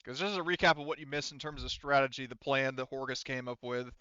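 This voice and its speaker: Casual, sweary male game master with clear voice. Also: mid-range voice, steady tone, sharp tone, no room sound, muffled recording. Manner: casual, profane, halting. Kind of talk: improvised tabletop roleplay narration.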